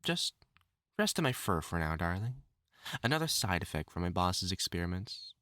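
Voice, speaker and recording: Guy doing a lovesick villain for audio roleplay, low sharp voice, desperate n menacing, super dry close mic. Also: big pitch swings, normal speed, dry recording, exaggerated voice. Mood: resigned, menacing tenderness. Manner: pleading, stammering, theatrical endearments. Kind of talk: dramatic audio roleplay, intimate monologue to listener.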